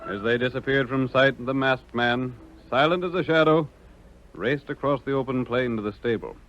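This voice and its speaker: A man's voice: medium pitch, moderate pace, fairly bright tone, natural voice. A man acting as the formal, theatrical narrator of an old-time western radio adventure, in scripted dramatic style. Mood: suspenseful. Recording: dull vintage radio recording.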